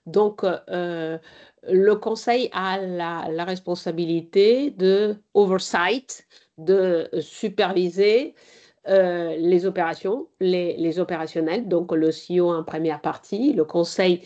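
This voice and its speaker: Slow, measured woman. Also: on the low side, mellow. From Italy. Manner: measured, hesitant with many pauses. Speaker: board chair and guest speaker. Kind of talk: conference talk. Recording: slightly dull lo-fi sound.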